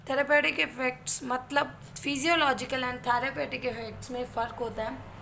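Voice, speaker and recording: A woman's voice: sits high, fairly bright tone, a little hiss or hum, low-quality recording. A woman with an Indian accent giving an explanatory lecture as an instructor, going step by step and answering her own questions. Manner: step-by-step teaching, posing questions and answering them. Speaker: physiotherapy instructor. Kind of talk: explanatory lecture mixing Hindi and English.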